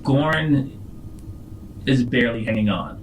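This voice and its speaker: A man running a tabletop roleplaying game, telling the story to the players in a halting, deliberate way. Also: medium pitch, slight background noise, poor audio. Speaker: game master.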